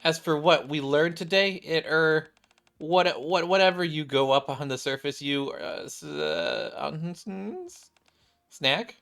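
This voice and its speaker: A man's voice: fairly high pitch, takes it slowly, fairly bright tone, voice pushed up. A man voicing a flustered, dozy schoolgirl who stammers as she eagerly bluffs. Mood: flustered, sheepish.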